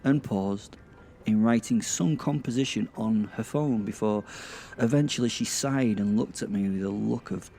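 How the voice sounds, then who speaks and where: A man's voice: fairly low pitch, moderate pace, warm tone, a little hiss or hum. A British man narrating a first-person audio drama in a bawdy, hesitant, self-interrupting way.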